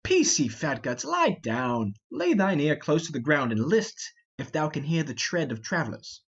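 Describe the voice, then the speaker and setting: Brief: a man as young prince, teasing and commanding, animated intonation, Shakespeare play reading, lo-fi sound. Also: on the high side, normal speed, slightly rough, heavily acted. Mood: mocking. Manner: taunting, commanding. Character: mocking, cocky young prince.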